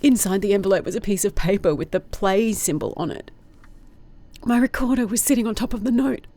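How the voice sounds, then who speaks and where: Casting: jaded female private eye, down on her luck, medium pitch, dry confessional delivery for audio drama, played by an actress. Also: brisk, mellow, lightly acted. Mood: uneasy. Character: weary, sardonic, broke female private investigator. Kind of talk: audio drama, recorded diary monologue.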